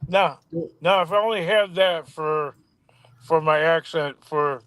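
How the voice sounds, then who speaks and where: A man's voice: a little high, unhurried, fairly bright tone, smooth, no room sound. A man with a Chicago accent chatting as a guest on a livestream, easygoing. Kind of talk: casual livestream chat.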